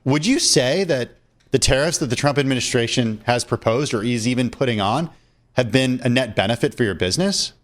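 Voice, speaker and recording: A man with an average-pitched voice works as an interviewer on business news. He poses spoken questions to a guest in broadcast style. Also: animated, fairly quick.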